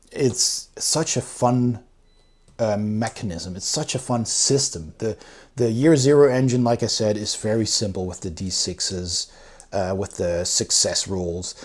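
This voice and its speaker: A man's voice: on the low side, darkish tone. A man giving a casual, unscripted review, explaining things in an informal and enthusiastic way. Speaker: board game reviewer.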